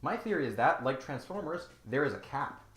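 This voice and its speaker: A man's voice: mid-range voice, very animated, fairly quick, fairly bright tone, echoey room, soft background music. A man hosting a scripted comedic critique, speaking in a mock-grandiose, sarcastic and emphatic way. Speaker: YouTube comedy host.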